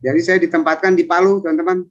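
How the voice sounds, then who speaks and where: A man's voice: on the high side, flat delivery, fast. A man in his fifties, a civil service trainer, chatting and rambling through his self-introduction in an online coaching session. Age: 50s. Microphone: video call. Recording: lo-fi video call audio.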